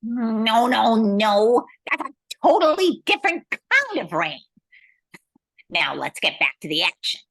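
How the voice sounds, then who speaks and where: A woman playing a bossy munchkin narrator in an amateur radio play, theatrical, with a husky edge. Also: fairly high pitch, sing-song, slow pace, a bit bright, heavily acted, poor audio. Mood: exasperated, scolding. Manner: theatrical, scolding.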